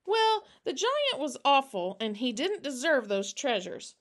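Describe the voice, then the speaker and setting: An earnest little storybook girl, pitched high and brimming with curiosity. She speaks in a bouncy, questioning way with childlike eagerness. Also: very animated, a little slow, a bit bright, full character voice.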